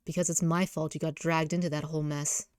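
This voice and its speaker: A woman voicing a gruff, guilt-ridden young man in a visual novel readalong, speaking in a weary, blunt way. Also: deep voice, level tone, fairly quick, darkish tone, no echo, clean studio sound, natural voice. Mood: guilty, blunt.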